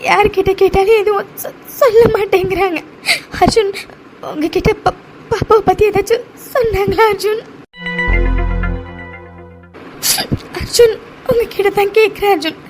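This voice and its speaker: A woman's voice: very high-pitched, fairly even intonation, over music. A woman playing a frightened, guilt-ridden young wife in an emotional audio drama, crying and stammering as she pleads. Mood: frightened, tearful. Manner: tearful, halting, stammering repetitions. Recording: faint background noise.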